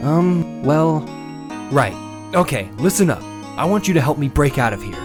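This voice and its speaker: A woman's voice: low-pitched, big pitch swings, normal speed, over music. A woman voicing an earnest, wry young male defense lawyer in a video game dub. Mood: relieved.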